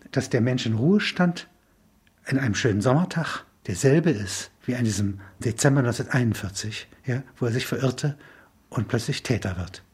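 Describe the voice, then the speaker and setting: A man with a very husky, breathy-rough voice at a medium pitch talks as an interview guest. He develops abstract ideas discursively, pausing mid-thought, stressing key words and adding short tag questions. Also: darkish tone. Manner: discursive, halting emphatic phrasing with tag questions. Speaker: interview guest explaining a film concept. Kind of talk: spontaneous intellectual discussion.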